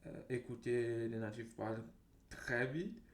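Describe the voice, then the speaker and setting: Halting Brazilian man, roomy echo. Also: medium pitch. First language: Portuguese. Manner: halting, repeats words and phrases. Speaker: language vlogger. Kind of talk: unscripted monologue to viewers. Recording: roomy echo, faint background noise.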